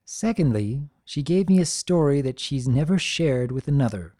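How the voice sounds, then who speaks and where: A performer voicing a thoughtful, grateful young female pony reading a diary entry in a gentle, measured, sincere way. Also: mid-range voice, animated, close to an everyday voice.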